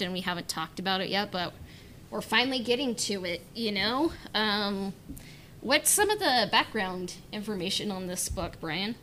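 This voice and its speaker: Bright-voiced woman hosting, noisy background. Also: medium pitch. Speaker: podcast host. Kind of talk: casual chat.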